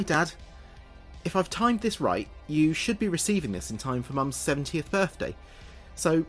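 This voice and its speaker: British male actor as earnest starship comms officer, sci-fi audio drama. Measured, precise delivery, medium pitch, faint music behind. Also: close to an everyday voice. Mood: affectionate. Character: earnest male spaceship communications officer recording logs.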